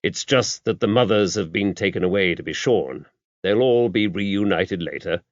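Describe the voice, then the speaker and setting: A man voicing a mild, knowledgeable clergyman in audiobook dialogue, measured and explanatory. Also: sits low, expressive, dry recording. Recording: dry, dull lo-fi audio.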